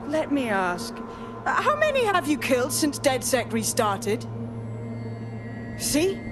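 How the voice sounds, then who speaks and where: High, taunting villainess. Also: female voice, wildly swooping pitch, over music, exaggerated voice. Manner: taunting, deliberate. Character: mocking, bitter female hacker villain, smug and theatrical.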